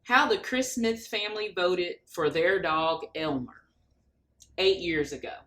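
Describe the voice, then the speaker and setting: A woman reading a picture book aloud to children, expressively, pausing to explain what the pictures show. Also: fairly low pitch, lively intonation, fairly bright tone. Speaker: guest storybook reader. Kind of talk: children's read-aloud narration.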